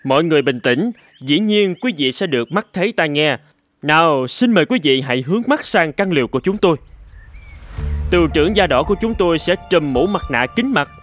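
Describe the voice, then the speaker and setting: A man playing a showy fairground presenter in a cartoon, emphatic and salesmanlike. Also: a little high. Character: showy male fairground presenter pitching to a crowd. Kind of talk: theatrical cartoon announcing.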